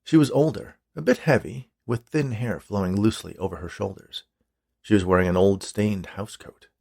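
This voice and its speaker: A man's voice: lowish voice, moderate pace, vocal fry, darkish tone. A man reading his own short story as scripted narration.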